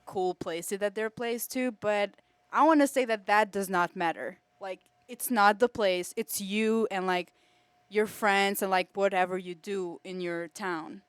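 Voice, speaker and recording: A woman's voice: medium pitch, fairly even intonation, measured pace, clear, resonant tone, dry recording. A young woman in her teens from Kosovo, chatting casually as an exchange student being interviewed.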